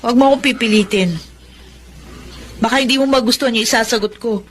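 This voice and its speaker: A woman's voice: fairly high pitch, quick pace, lightly acted. A Filipino woman playing a torn, exasperated young sweetheart in a radio drama, reproachful and earnest. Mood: hurt, indignant. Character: exasperated, torn young sweetheart caught between two men.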